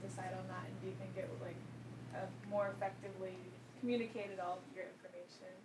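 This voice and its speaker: A woman's voice: medium pitch, level tone, fast talker. A woman asking a casual question from the audience at a conference. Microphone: far from the microphone. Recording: distant, echoey, very noisy room pickup.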